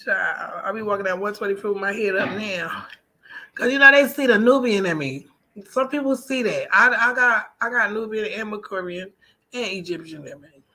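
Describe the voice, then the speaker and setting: Casting: American female vlogger, bright and punchy voice, emphatic colloquial delivery, conversational solo talk. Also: mid-range voice, moderate pace. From United States. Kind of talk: casual personal vlog monologue.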